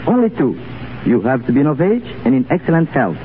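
A man's voice: medium pitch, huge pitch swings, a little fast. A man speaking as a guest and former soldier in a semi-scripted 1930s radio interview. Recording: tinny 1930s radio broadcast, faint noise.

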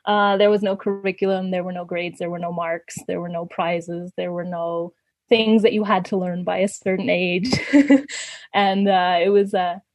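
A woman's voice: fairly low pitch, flat delivery, moderate pace, dry recording. A woman in her thirties from Canada, chatting as an interview guest, laughing often between fillers and restarts. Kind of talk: casual conversational storytelling.

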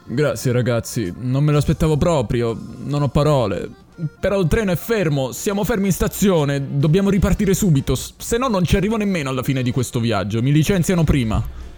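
A man's voice: mid-range voice, normal speed, few pauses, dark tone, soft background music, close to an everyday voice. A man voicing an indignant, bewildered middle-aged train conductor in a story. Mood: moved, anxious. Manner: indignant, incredulous questioning. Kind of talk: acted dialogue in an Italian audio story.

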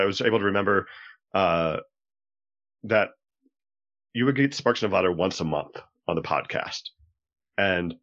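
Guy with a really low voice chatting on a podcast, kinda halting, muffled lo-fi sound. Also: a bit bright. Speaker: podcast guest. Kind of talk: casual discussion on a podcast. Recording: dull lo-fi audio.

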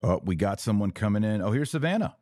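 A man's voice: fairly low pitch, expressive, fast talker, a little mellow, no room sound, clean studio sound. A man hosting a podcast, giving live commentary and interviewing. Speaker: podcast host.

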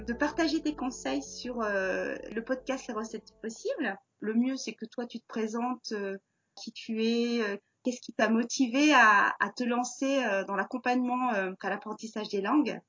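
A French woman hosts a podcast interview in a fairly high, slightly husky voice. She phrases her questions loosely, stopping often on fillers. The sound is a bit dull and lo-fi. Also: moderate pace, faint music behind. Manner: hesitant, many fillers. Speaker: podcast host. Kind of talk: casual interview talk.

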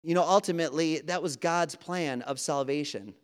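A man's voice: fairly high pitch, normal speed, clean tone. A man from Wisconsin with a Wisconsin accent, preaching a sermon in a conversational way with asides and fillers. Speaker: pastor. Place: church. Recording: reverberant church hall.

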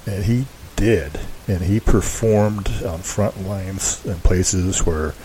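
A man hosting a show, giving casual, unscripted background commentary in a halting, rambling, hedging way. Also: low voice, mellow, rough recording. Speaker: host introducing an old-time radio show. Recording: faint background hiss.

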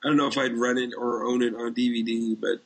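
A man co-hosting a podcast, chatting informally and with firm opinions about films and TV in a gravelly, husky voice. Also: medium pitch, little pitch movement, quick pace, poor audio. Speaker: podcast co-host. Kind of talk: casual chat about films and TV.

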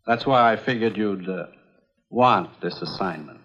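An actor playing an earnest, authoritative commissioner in a 1950s adventure drama. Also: male voice, fairly low pitch, measured pace, fairly bright tone, husky. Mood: grave, urgent. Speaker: commissioner giving orders. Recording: dull, muffled 1950s soundtrack.

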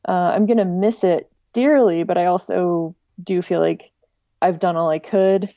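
A woman's voice: lowish voice, fairly even intonation, measured pace, dark tone, no echo, dull audio. A woman speaking casually and informally as an interview guest, with a wry manner. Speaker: interview guest, director of an online music archive.

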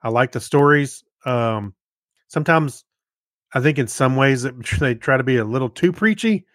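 A man co-hosting a podcast, chatting casually in a rambling, halting way and often correcting himself. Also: medium pitch, animated, a bit bright, dry recording, clean studio sound. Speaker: podcast co-host. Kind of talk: casual chat.

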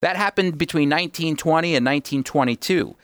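A man's voice: fairly high pitch, brisk, a bit bright, clean tone, no room sound. Man hosting radio commentary, dry close sound. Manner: emphatic, explanatory, asks and answers own questions. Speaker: radio host.

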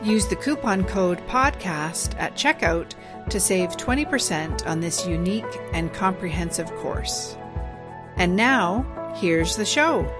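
A woman podcast host reading a scripted introduction and promotion in a measured, even way. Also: lowish voice, over music. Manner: measured, even, read-aloud delivery.

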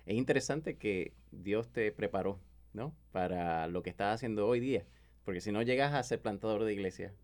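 A man's voice: fairly low pitch, normal speed. A man hosting a podcast interview, affirming often and asking questions. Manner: affirming, many backchannels. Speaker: podcast host.

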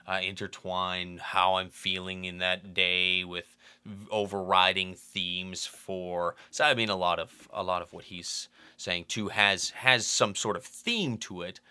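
Deep, bright, forceful man in casual chat. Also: fairly even intonation, a little slow, clear, resonant tone, no room sound. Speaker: podcast guest. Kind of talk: casual chat about rap music.

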